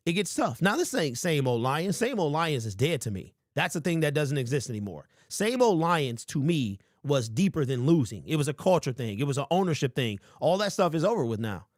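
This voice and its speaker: A man in his forties hosting a casual sports talk show, reading viewer comments and rambling with lively emphasis. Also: fairly high pitch, moderate pace, no room sound, clean studio sound. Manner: animated, rambling, calls listeners bro. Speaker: sports podcast host.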